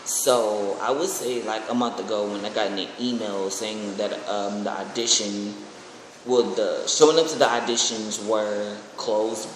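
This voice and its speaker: Young adult vlogger with a mid-range voice, casual and rambling, recorded in a very reverberant, noisy room. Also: medium pitch. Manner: rambling, excitable. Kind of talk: casual chat to camera. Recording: echoey room with clear background noise.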